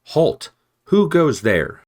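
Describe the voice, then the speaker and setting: A man voicing a bold young male mouse warrior, calling out sharp and clear. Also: low-pitched, moderate pace. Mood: commanding. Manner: sharp, ringing calls.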